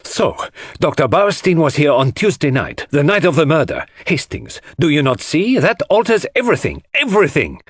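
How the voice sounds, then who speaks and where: A man voicing a fussy, excitable, vain foreign detective who speaks emphatically in an audiobook mystery. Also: fairly high pitch, moderate pace, husky, no echo, studio quality, voice pushed up. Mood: frantic. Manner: emphatic, repeats words, French phrases sprinkled in.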